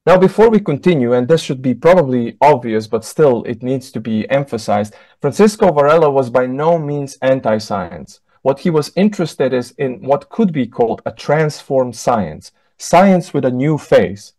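A man in his thirties whose first language is Slovene, giving an academic lecture over a video call in a measured way, often correcting himself mid-word. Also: mid-range voice, lively intonation, warm tone, dry recording. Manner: measured, with frequent self-corrections and fillers. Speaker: keynote lecturer. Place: indoor room, on a video call.

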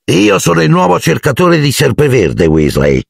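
A man's voice: fairly high pitch, wildly swooping pitch, moderate pace, vocal fry, no echo, clean studio sound, pitched above the performer's own voice, exaggerated voice. A man voicing a spoiled rich teenage bully with a smug, drawling sneer.

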